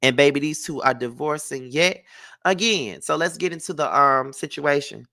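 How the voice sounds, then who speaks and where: A man with a slightly high, bright and forceful voice that often drops into fry. He sounds stuffed up with a cold. He talks like a gossip vlogger, casual and sassy, with blunt, profane emphasis. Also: moderate pace. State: congested with a cold. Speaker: entertainment gossip vlogger and host. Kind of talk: casual opinionated reality TV commentary.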